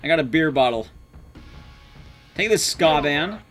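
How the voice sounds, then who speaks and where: A man's voice: fairly high pitch, expressive, unhurried, a bit bright, faint music behind. A young man giving casual, wry gameplay commentary to his chat, informal and sweary. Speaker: streamer, speedrunner.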